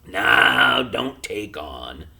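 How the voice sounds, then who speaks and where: A man reading aloud and voicing a small, stubborn working-class father who speaks in a flat, expressionless way with rustic grammar. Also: lowish voice, measured pace, gravelly, voice pushed up, full character voice. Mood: gruff, firm.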